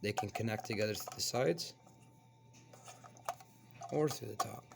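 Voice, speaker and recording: A man with a hoarse voice from a cold, hosting a casual toy review and chatting as he unboxes. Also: fairly low pitch, unhurried, very noisy. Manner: relaxed, chatty, explains as he handles the toy. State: hoarse, getting over a cold. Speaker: toy reviewer, channel host.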